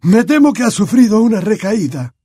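A genial old headmaster, as a male narrator puts him on, cheerful yet firm. His voice is pitched up and a little husky, with lively, bouncing intonation. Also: moderate pace, no echo, studio quality, exaggerated voice.

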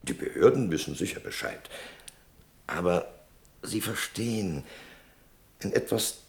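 Radio play role: evasive, pompous male merchant. Very deep, creaky, lecturing and insinuating. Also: expressive, full character voice. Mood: sly, evasive. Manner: lecturing, insinuating, drawn-out emphasis. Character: evasive, self-important merchant Don Fernandez. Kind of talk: German radio play acting.

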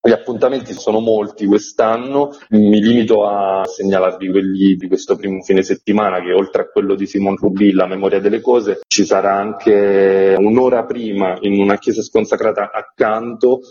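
A man's voice: lowish voice, little pitch movement, moderate pace, few pauses, warm tone, highs cut off. A man speaking as an arts festival director in an interview, explaining things informatively in long, flowing sentences. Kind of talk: informational radio interview answers.

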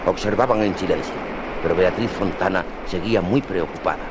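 A man's voice: deep voice, brisk, heavy background noise. A man narrating dramatically in the style of a 1950s radio adventure serial. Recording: vintage 1950s radio, music underneath.

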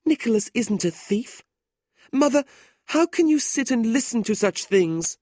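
A man's voice: very high-pitched, animated, a little slow, a little mellow, dry recording, clean studio sound, voice pushed up, exaggerated voice. A man voicing an anxious young woman pleading with her brother, in a storybook reading. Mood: distressed.

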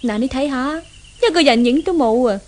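A woman's voice: sits high, moderate pace, a little mellow, close to an everyday voice. An actress playing a haughty, jealous rich young woman in a Hong Kong Cantonese radio drama, with a taunting, threatening manner. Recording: noisy old 1970s radio recording.

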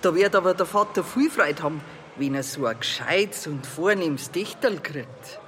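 A fussing, impressed country character played by a man in a radio play. The voice is on the high side, over clear background noise. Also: animated, moderate pace, close to an everyday voice. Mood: admiring. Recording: noisy background ambience.

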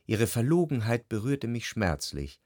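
An actor playing an introspective, doubting gentleman who narrates his love in a literary radio drama. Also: medium pitch, very animated, measured pace, no echo, clean studio sound. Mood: pained, confessional. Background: music.

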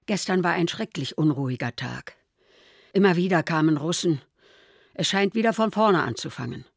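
A woman playing an anxious elderly mother, reading diary entries in a quiet, measured way. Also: fairly low pitch, expressive, creaky, no echo. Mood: worried.

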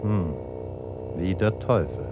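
An actor voicing an uncanny figure of death in a German radio play, measured and quietly insistent in his accusations. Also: low-pitched, dull audio, over music. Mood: ominous, calm. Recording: noisy old radio play recording.

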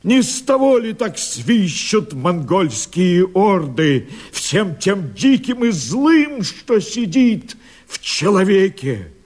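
A man's voice: high voice, very animated, darkish tone, exaggerated voice. A man acting a desperate, fiery rebel leader in a radio play, declaiming verse in an impassioned, oratorical way. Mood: anguished yearning. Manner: impassioned, oratorical, drawn-out phrasing. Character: fiery desperate male rebel leader rallying his men.

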